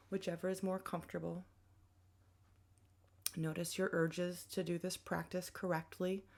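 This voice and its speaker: A woman guides a mindfulness exercise in a fairly low voice. Her tone is thick with creak and fry. She phrases instructions as gentle invitations, in a conversational way. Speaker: meditation guide, counselor.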